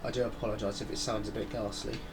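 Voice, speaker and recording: A man's voice: lowish voice, little pitch movement, quick pace, background noise. A man giving casual, rambling commentary while playing a racing game. He has a blocked nose from a head cold and breathes through his mouth. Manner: rambling, chatty, muttering asides. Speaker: gaming channel host.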